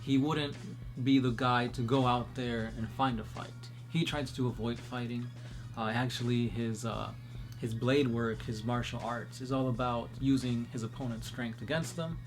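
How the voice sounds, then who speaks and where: A man co-hosting a casual chat, answering fan questions in a relaxed, chatty way. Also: medium pitch, moderate pace, music in the background. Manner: relaxed, chatty, many fillers. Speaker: podcast co-host.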